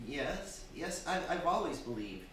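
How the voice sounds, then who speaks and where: A man's voice: on the high side, big pitch swings, a little slow, a bit bright. Man acting nervous stammering husband, echoey hall. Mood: frightened. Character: timid, weak-willed henpecked husband, frightened and desperate. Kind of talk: stage radio drama acting. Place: theatre stage before a live audience. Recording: very echoey hall, distant, faint noise.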